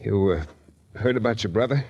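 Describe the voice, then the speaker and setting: An actor playing a tough, wry private detective, narrating and talking in clipped, intense 1940s radio style. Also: male voice, on the low side, moderate pace, warm tone, lo-fi sound, a little hiss or hum, close to an everyday voice. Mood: concerned. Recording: 1940s radio broadcast recording.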